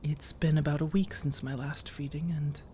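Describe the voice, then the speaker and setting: A woman playing a flirtatious, coaxing female vampire in a soft-spoken ASMR roleplay, teasing and measured, with intimate pauses and breathy slips into whispers. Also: sits low, little pitch movement, a little hiss or hum, lightly acted. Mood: coaxing, affectionate. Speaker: audio roleplay performer.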